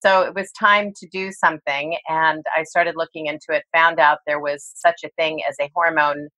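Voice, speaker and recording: An older woman, a guest expert, telling her story in a reflective, measured way during an interview. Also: sits low, steady tone, bright, forceful tone, no room sound, rough recording.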